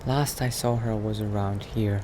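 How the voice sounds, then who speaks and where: Male gamer, in-character roleplay, unhurried hesitant murmur, light noise behind. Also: lowish voice, steady tone. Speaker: player roleplaying a vampire Dark Elf character. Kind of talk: in-character roleplay narration of a video game.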